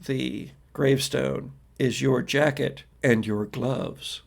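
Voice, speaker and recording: An American man with a very gravelly, fry-laden voice at a middling pitch. He works as a game master, narrating improvised horror. His storytelling is dramatic and deliberate, with pauses that trail off. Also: fairly even intonation. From United States. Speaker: game master and podcast host.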